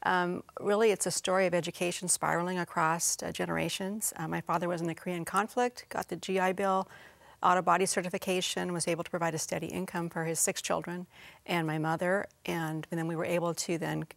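A woman's voice: lowish voice, little pitch movement, fairly quick, creaky, dry recording. A woman in her fifties from Nebraska, a retired school principal, giving earnest and careful answers as a studio guest. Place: TV studio set. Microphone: lapel.

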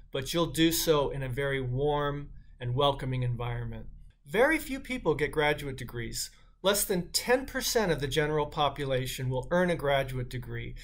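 A middle-aged man giving a prepared welcome address as a university dean, speaking at a desk in a small office. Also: a little high.

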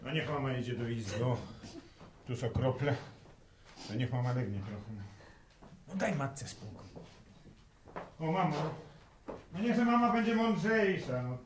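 An actor playing the practical, exasperated grown son of a village family in a radio serial, homely and halting as he scolds and placates. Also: medium pitch, animated, close to an everyday voice. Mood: soothing. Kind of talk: Polish radio serial acting. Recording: noisy old radio recording.

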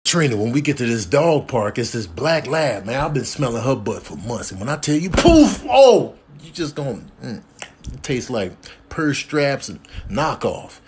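A man's voice: mid-range voice, big pitch swings, moderate pace, slight background noise, natural voice. A man doing comedic voiceovers as indignant, sassy talking house pets, trading slangy, exasperated trash talk.

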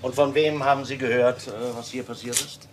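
A man's voice: mid-range voice, fairly even intonation, moderate pace, natural voice. A man playing a polite but insistent police inspector in a radio crime play, courteous and controlled as he probes. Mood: courteous, probing. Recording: noisy old radio play recording.

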